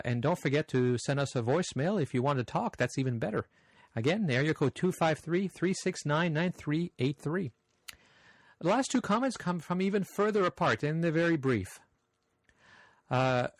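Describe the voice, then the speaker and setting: A man with a slight French accent who hosts a podcast, reading listener mail in easygoing, friendly chat with frequent pauses. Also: on the high side, no room sound. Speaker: podcast host.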